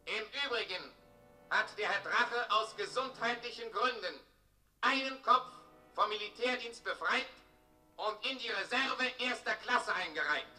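An actor playing an officious town crier in a radio play, declaiming bureaucratic proclamations. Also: male voice, high-pitched, expressive, unhurried, pushed and bright, full character voice. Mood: pompous, official. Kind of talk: German radio play acting. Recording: roomy distant radio play, music behind.

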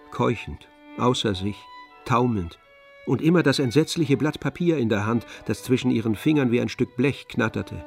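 A man narrating a literary radio drama in a measured, grave manner. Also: mid-range voice.

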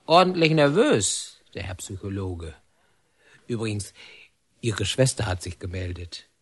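Low-voiced, kindly prison warder. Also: male voice, sing-song, unhurried, breathy edge, heavily acted. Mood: chatty, kindly. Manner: casual, hesitant, familiar. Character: gruff but kindly male prison warder. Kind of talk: German radio drama acting.

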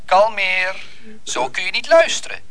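A high, wildly swooping male creature voice, cold and taunting. The delivery is theatrical and deliberate, like radio drama menace. Faint noise and clicks sit on the recording. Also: lively intonation, a bit bright, full character voice, rough recording. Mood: controlled, explaining. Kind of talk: radio play acting.

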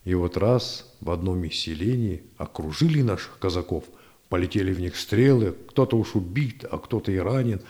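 A lively low-voiced storytelling grandad. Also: male voice, moderate pace. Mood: animated storytelling. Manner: folksy, animated, teasing. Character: old grandfather storyteller teaching his grandchild, lively and gently chiding. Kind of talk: audio play storytelling narration.

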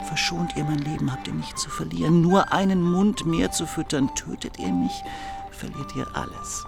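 An actor playing a wily captive Greek monk in a German radio drama, arguing slippery and swearing pompous oaths. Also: male voice, a little high, normal speed, vocal fry, over music. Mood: pleading, scheming.

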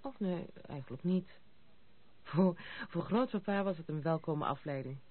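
A woman's voice: deep voice, animated, normal speed. Proud young noblewoman, tinny old recording. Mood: bitter, confiding. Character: proud, outspoken young noblewoman, bitter yet lively. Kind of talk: Dutch radio play acting. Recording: muffled, noisy, narrow-band old radio recording.

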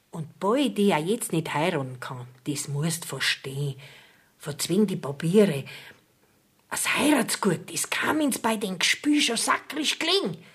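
A middle-aged woman playing an indignant, stubborn village widow in a dialect radio play, scolding emphatically with a Bavarian accent. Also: mid-range voice. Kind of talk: dialect radio drama acting.

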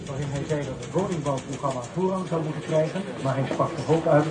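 An actor playing a formal 1940s radio newsreader reading the bulletin. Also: male voice, on the high side, very noisy, close to an everyday voice. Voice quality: formal, measured news delivery.

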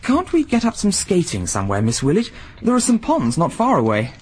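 An actor playing a breezy, flippant young gentleman in a BBC radio drama, with an easy upper-class drawl. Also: high voice, huge pitch swings, a little fast, a little hiss or hum.

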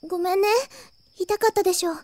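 A woman's voice: very high voice, level tone, fast, a little mellow, no room sound. A woman voicing a kind, naive young catgirl in a Japanese anime-style audio drama, with a cutesy girlish voice. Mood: earnest. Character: cheerful, kind young catgirl of the dark clan.

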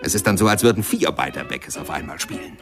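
Low, animated musing narrator. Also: male voice, quick pace. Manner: conversational, musing, questioning, showing and prompting. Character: musing biographer-narrator who prompts and coaches another speaker. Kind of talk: radio play narration. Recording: music clearly behind the voice.

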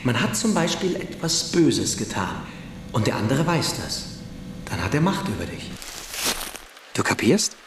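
A calm, knowing older schoolboy in a radio drama, persuasive and a little mysterious. His intonation moves widely, in an echoey room with faint noise. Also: medium pitch. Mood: intriguing, persuasive. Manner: deliberate, persuasive, quietly superior. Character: self-assured, precocious older schoolboy, calm, probing and mysterious. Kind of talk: radio play acting.

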